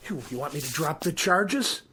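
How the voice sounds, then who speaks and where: An actor playing a pompous, indignant store owner in a radio drama, speaking in haughty, sarcastic, formal phrases. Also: male voice, fairly high pitch, animated, normal speed, pushed and bright, slight background noise.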